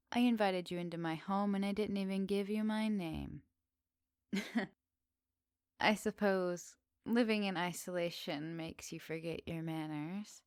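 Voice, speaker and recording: A flirty witch of the woods, played by a woman, speaks straight to the listener in an ASMR roleplay. She is unhurried, teasing and gently doting, with quaint good manners. The sound is dry and intimate. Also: mid-range voice, no room sound, lightly acted. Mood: apologetic, chatty. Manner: teasing, gently doting, slightly old-fashioned politeness.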